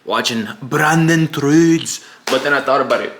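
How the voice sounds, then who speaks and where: A man's voice: medium pitch, normal speed, a bit bright. A young man casually talking through his trading progress in a vlog, laid-back and repetitive, often saying "bro" and "you know". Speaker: day trading vlogger.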